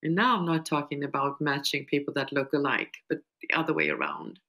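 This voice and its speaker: Older lady on a video call panel, low voice, explains things slowly with stops and starts. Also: very animated, vocal fry, pushed and bright. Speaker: panel speaker and mentor. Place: small furnished room indoors.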